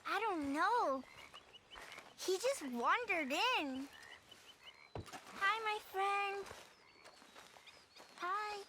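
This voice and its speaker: A very high, very slow and gentle frontier youngster in a Western game. The friendly voice sits amid clear outdoor noise and animal sounds. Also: female voice, animated, heavily acted. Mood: tender delight. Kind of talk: video game character acting.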